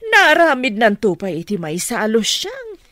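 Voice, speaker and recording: Wildly animated, scheming Filipino mother. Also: fairly high pitch, unhurried, no room sound, heavily acted. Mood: scheming, insistent. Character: scheming, pushy mother of a grown daughter. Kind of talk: radio comedy drama acting.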